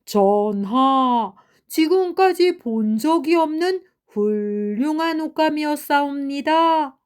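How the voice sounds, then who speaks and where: A timid old servant of the royal court, acted by a woman reader. The speech is formal and gushing, slow and soft-edged, as in a storybook. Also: high voice, animated, voice pushed up. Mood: flattering. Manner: deferential, formal, gushing praise.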